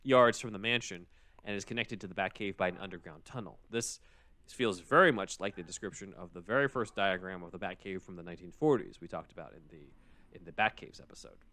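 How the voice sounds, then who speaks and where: Low-voiced man chatting, dry close sound. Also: brisk, pushed and bright, dry recording. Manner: informal, explanatory. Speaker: podcast co-host. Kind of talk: casual podcast chat summarizing a script.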